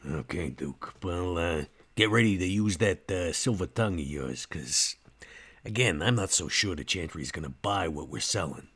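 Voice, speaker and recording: Casting: streetwise, easygoing vampire played by a man, low raspy voice with a forceful edge, casual slangy delivery for tabletop game. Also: lively intonation, normal speed, heavily acted. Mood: wry, casual. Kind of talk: tabletop roleplay in character.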